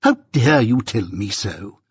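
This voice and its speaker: Guy doing a snooty offended lady of the house, bossy mother, rough husky voice, storybook style. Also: mid-range voice, expressive, normal speed, no room sound, clean studio sound. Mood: indignant scolding. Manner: imperious, affronted. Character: haughty, indignant gentlewoman mother scolding her household.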